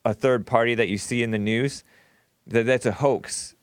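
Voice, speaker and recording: A man's voice: medium pitch, steady tone, normal speed, no room sound, studio quality. A middle-aged man, co-host of a stream, talking in a casual, rambling monologue with many fillers and self-corrections.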